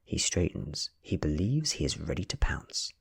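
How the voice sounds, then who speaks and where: A British man reading a story aloud in a soft-spoken ASMR style, breathy and often close to a whisper, with slow, deliberate delivery. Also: rumbling low, big pitch swings. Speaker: ASMR reader.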